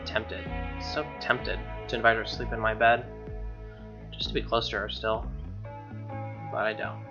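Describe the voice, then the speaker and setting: A man's voice: lowish voice, flat delivery, measured pace, muffled recording, over music. A man reading a visual novel aloud as a gamer, mixing narration with informal, slangy asides. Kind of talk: dramatic reading with casual asides.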